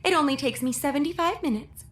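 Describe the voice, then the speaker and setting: A woman's voice: sits high, fast talker, natural voice. A woman acting a bossy, glamour-obsessed coworker in a romantic comedy radio play, emphatic and exacting.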